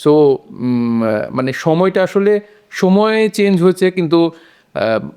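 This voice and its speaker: A man's voice: fairly high pitch, sing-song, slow, darkish tone, clear, resonant tone. A man on a discussion panel, explaining his opinions on a short story in a casual conversational way.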